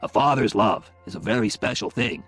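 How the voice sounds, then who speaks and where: A man plays a kindly, accepting middle-aged father in an audiobook story. He talks simply and informally in a husky voice, with music behind. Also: fairly low pitch, lively intonation, a little fast, fairly bright tone. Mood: kindly, brave. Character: warm, plain-spoken Italian-American father, middle-aged, accepting. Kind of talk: audiobook character dialogue.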